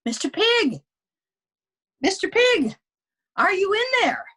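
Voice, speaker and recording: A woman's voice: very high-pitched, sing-song, slow pace, sharp tone, voice pushed up, exaggerated voice. A woman reading as a wily male wolf who pleads his innocence in folksy, self-justifying storybook narration. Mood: calling out.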